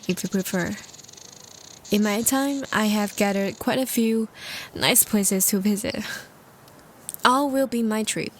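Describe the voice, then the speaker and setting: Woman playing a slow-speaking, courtly female vampire. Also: medium pitch, a little hiss or hum, lightly acted. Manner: formal, old-fashioned diction, tender and breathy. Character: courteous, smitten, hungry old-world female vampire. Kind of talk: roleplay audio drama, intimate scripted monologue.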